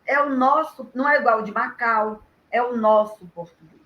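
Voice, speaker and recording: A woman guest on a live chat, talking lively and emphatically as she gives advice. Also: a little high, normal speed, dull audio. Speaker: guest storyteller and author.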